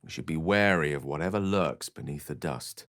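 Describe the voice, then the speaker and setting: An actor playing an eloquent, theatrical wizard in a fantasy video game, learned, courtly and wry, with articulate, bookish phrasing. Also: male voice, a bass voice, moderate pace, fairly bright tone, no echo, studio quality. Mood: grave.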